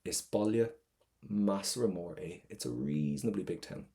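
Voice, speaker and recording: A middle-aged Irish man leads an exam prep lesson with lively, encouraging energy. His voice sits low and mellow. He keeps the room with him through frequent quick checks. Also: gravelly. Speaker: Irish language teacher.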